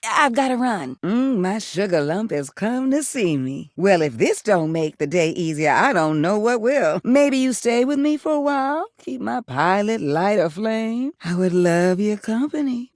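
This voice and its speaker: A woman voicing a sultry, affectionate female household water heater in a video game, a gravelly voice and a folksy drawl full of pet names. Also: medium pitch, very animated, measured pace, hardly any pauses, darkish tone, no room sound, clean studio sound.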